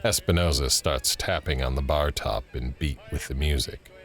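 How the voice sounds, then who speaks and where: An actor as a narrator, reading scripted scene directions for an audio drama. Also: male voice, rumbling low, normal speed, fairly bright tone. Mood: measured.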